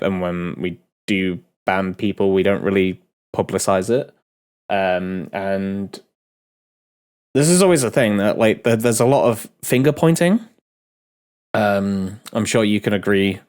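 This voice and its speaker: Low-voiced man, slightly nasal. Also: smooth. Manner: rambling, many ums and restarts. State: a bit ill, nasal. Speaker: game developer, host. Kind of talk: casual dev talk Q&A.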